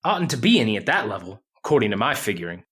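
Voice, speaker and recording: A jittery, skinny rig geologist played by a male actor in old-time radio horror. His speech is nervous and halting, with a pitch that moves around a lot. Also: big pitch swings, a bit bright, slightly rough, full character voice. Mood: musing.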